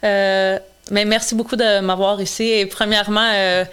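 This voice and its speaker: A woman's voice: medium pitch, level tone, moderate pace, fairly bright tone, clear, resonant tone. A woman in her twenties whose first language is English, answering interview questions earnestly with frequent hesitations. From Quebec. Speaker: guest, charity founder.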